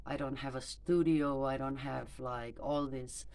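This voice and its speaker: Halting, slow-talking non-native woman. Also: rumbling low, steady tone, darkish tone. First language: neither English nor German. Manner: halting, hesitant, many fillers and restarts. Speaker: mother vlogger. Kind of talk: personal vlog monologue. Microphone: phone camera.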